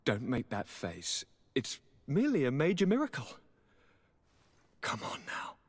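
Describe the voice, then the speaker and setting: An actor playing a cheeky, blithe young highborn gentleman in a fantasy game, with a breathy voice and wry, courtly, theatrical manner. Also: wildly swooping pitch, unhurried, exaggerated voice. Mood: wry, affectionate. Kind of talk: dramatic fantasy game acting.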